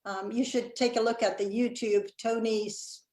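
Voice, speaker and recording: A woman's voice: fairly high pitch, steady tone, moderate pace, a little mellow. Finnish woman with a mid-Atlantic lilt, echoey call. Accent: Finnish, with a mid-Atlantic lean. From Finland. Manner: thoughtful, hesitant with many ums, laughs easily. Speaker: guest, executive director. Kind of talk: casual interview chat. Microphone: video call.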